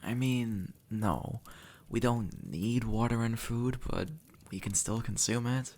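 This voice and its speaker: A man playing an easygoing, centuries-old demon who was once human, speaking gently and casually to the listener in an intimate audio roleplay. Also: medium pitch, expressive, slow pace, a little hiss or hum. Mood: casual, teasing. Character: easygoing two-century-old male demon, formerly human, reassuring and teasing.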